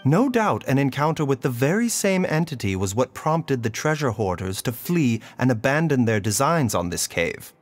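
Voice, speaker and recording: An actor playing a calm, learned male doctor in a video game, speaking in a measured, reasoning, composed way. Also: medium pitch, natural voice.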